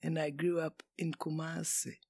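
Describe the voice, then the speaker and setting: A woman in her sixties with a Ghanaian accent, telling her life story as an interview guest in a deliberate, talkative way. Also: deep voice, level tone, warm tone, breathy edge. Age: 60s. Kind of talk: casual interview.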